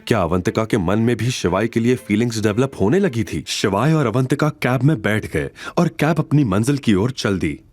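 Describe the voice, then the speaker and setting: A man's voice: mid-range voice, expressive, moderate pace, few pauses, a little mellow, no echo. A man narrating a scripted audio drama in a dramatic storytelling style. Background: background music.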